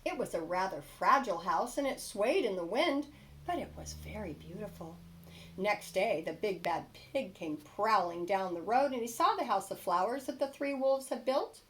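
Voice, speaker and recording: A woman reading a children's storybook aloud expressively, with emphatic stresses and dramatic pauses. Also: medium pitch, expressive, fairly bright tone, a little hiss or hum, low-quality recording. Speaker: storybook reader.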